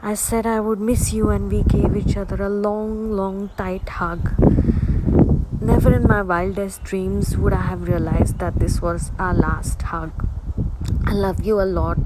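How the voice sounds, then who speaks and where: A woman giving a tender, halting, heartfelt memorial tribute as a family friend. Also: mid-range voice. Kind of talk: personal spoken tribute. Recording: loud background noise.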